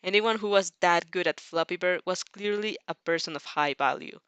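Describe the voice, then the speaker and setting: A woman's voice: on the low side, moderate pace, fairly bright tone, smooth, dry recording. A woman reading fan fiction expressively and informally, stumbling over and repeating phrases, with casual reactions of her own.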